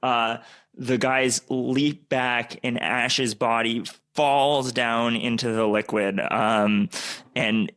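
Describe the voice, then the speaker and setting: A man narrates an improvised story in a medium-pitched voice. His delivery is very slow and halting, as a podcast performer inventing the scene piece by piece. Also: fairly even intonation, a bit bright, smooth. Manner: halting, searching for the next phrase.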